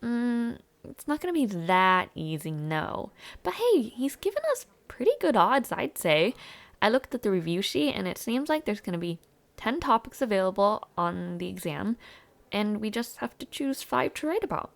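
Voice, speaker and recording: Woman playing a creaky-voiced, friendly college girl. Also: mid-range voice, big pitch swings, normal speed. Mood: chatty, wry. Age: young adult. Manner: casual, hesitant, giggly, trailing afterthoughts. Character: friendly, chatty young female university student and dormmate. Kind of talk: intimate audio roleplay, casual one-sided conversation.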